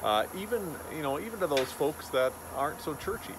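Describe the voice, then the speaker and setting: A man in his forties giving an informal, reflective devotional message to camera like a pastor, in a halting, earnest way. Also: fairly high pitch, animated. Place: outdoors beside a road and small wooden chapel. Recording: outdoor recording with loud vehicle noise. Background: loud outdoor ambience, passing vehicles.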